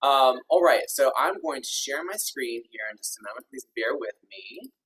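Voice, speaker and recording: A man in his thirties with a somewhat husky voice hosts a virtual info session over a video call. He sounds upbeat and friendly, with plenty of ums and uhs. He is a little distant from the mic. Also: medium pitch, expressive, normal speed, fairly bright tone. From Kentucky. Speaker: executive director hosting an info session.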